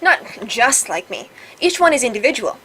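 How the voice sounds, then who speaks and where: A woman's voice: on the high side, sing-song, normal speed, bright, forceful tone, slightly rough, heavily acted, rough recording. A woman voicing a polite, stubborn, literal-minded water spirit AI in a formal, precise way, recorded with some noise. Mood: formal, evasive. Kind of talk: reading visual novel dialogue aloud.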